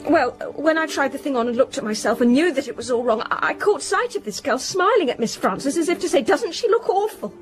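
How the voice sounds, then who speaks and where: A high-pitched young woman from a well-to-do family in a radio play. She is proud but stricken with guilt. Her delivery is earnest and defensive, faltering as she explains herself. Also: animated, fairly quick, few pauses, faint music behind. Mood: confessional, upset. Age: young adult. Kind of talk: radio drama acting.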